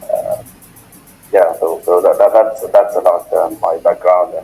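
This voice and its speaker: Deep, mellow man. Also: level tone, unhurried, vocal fry, faint music behind, low-quality recording. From Sudan. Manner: polite and formal. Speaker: PhD student interviewee. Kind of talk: academic interview self-introduction.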